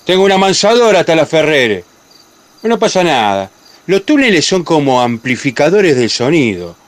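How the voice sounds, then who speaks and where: A man's voice: fairly high pitch, very animated, normal speed. A man playing a tired, dismissive guard in a radio play, brusque and colloquial, with a Rioplatense Argentine accent. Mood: impatient, dismissive. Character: tired, dismissive male guard eager to go home. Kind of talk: community radio play acting.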